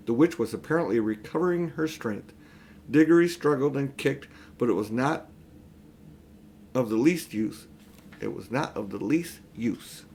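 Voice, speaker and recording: Animated older man reading a storybook. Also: medium pitch. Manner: expressive, emphatic storytelling. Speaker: audiobook reader. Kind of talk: children's storybook narration.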